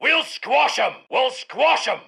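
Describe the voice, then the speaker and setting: An actor playing an aggressive Second World War British tank commander in a video game, with a Scottish accent and emphatic military commands. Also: male voice, very high voice, animated, takes it slowly, vocal fry, sharp tone, exaggerated voice. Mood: steady, determined. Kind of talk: video game unit voice lines.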